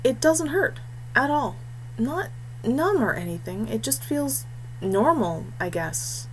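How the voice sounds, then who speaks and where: A puzzled young warrior man, voiced by a woman reader in a storybook, speaking casually and full of questions. The pitch swings about, and a noisy hum sits behind the voice. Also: sing-song, slow pace, heavily acted. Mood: baffled. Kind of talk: audiobook character dialogue. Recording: clearly noisy background hum.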